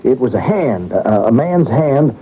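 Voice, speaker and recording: An actor playing a frightened baggage master with a husky voice, stammering and agitated, in old-time radio drama style. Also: male voice, mid-range voice, very animated, a little slow. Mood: nervous, flustered. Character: frightened, flustered male baggage master on a train. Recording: tinny old radio recording.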